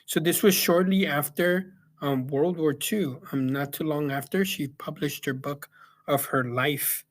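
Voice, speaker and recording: A man's voice: on the high side, steady tone, a little slow. Hesitant young man reviewing a book. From El Salvador. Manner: hesitant, many ums and restarts. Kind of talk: casual spoken book review. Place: Yakima, Washington.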